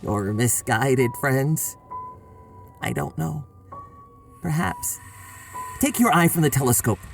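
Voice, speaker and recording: A man playing a sinister, commanding astronomer in a radio horror drama, with a creaky voice and cryptic, ominous delivery. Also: mid-range voice, lively intonation, slow pace, soft background music. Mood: cryptic, menacing.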